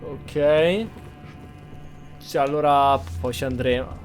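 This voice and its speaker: A man's voice: medium pitch, slow, faint music behind. An Italian man giving casual, blunt, colloquial commentary as he plays a video game. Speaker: gaming streamer.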